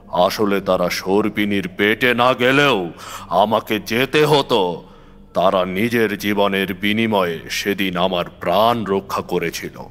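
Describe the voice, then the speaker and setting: Weary older male mystic voiced by an actor, unhurried, philosophical horror-story monologue over music. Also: lowish voice, a bit bright.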